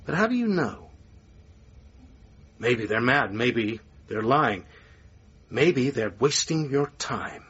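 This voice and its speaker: A man's voice: mid-range voice, very animated, slow pace, pauses often, a bit bright, breathy edge, exaggerated voice. An actor playing a sardonic, theatrical male theatre manager in a radio drama, needling and emphatic with sarcastic flourishes. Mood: wounded, sceptical. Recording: distant, dull, faintly noisy radio recording.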